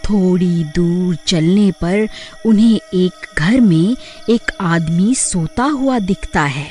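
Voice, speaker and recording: A woman reads a scripted horror tale as narrator. Her voice sits a little low and moves slowly and deliberately, with soft music underneath.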